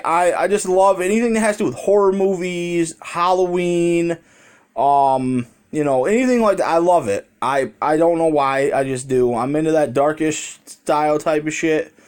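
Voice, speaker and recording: A man's voice: on the high side, normal speed, a little mellow, clear, resonant tone. An American man talking in a rambling, conversational way with casual swearing, telling a story as a vlogger into a handheld phone.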